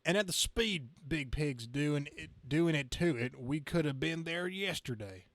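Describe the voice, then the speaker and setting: A man voicing an earnest, folksy trucker superhero, halting over the slang. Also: a little high, animated, no room sound.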